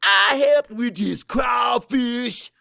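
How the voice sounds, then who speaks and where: Loud, high-pitched old Cajun man. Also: very animated, drawn-out words, gravelly, dry recording, studio quality, full character voice. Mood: insistent storytelling. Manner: loud, emphatic, folksy, mixes French into English. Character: fiery old Cajun man, storytelling elder, combative.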